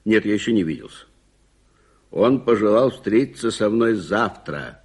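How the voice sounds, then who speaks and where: Husky, blustering older businessman. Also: on the low side, animated, normal speed, a little mellow. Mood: brisk, insistent. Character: proud older businessman, old frontier hand, touchy and blustering. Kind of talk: Soviet radio play acting.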